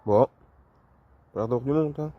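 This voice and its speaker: A man's voice: mid-range voice, slow, no echo, muffled recording. A man chatting casually and a little hesitantly as an amateur radio operator, speaking outdoors in a garden. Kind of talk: casual two-way radio chat.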